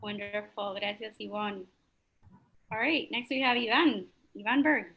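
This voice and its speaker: A woman hosting a video call, calling names and warmly welcoming each speaker. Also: mid-range voice, creaky, dull audio, a little hiss or hum. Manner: upbeat, quick thanks and welcomes. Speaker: host. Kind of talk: casual introductions on a video call.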